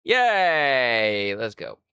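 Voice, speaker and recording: A man in his twenties streaming live, rambling in a crude, self-mocking way and thinking out loud. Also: medium pitch, very animated, very slow, clean tone, dry recording, studio quality. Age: 20s. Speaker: livestreamer. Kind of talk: casual livestream chat.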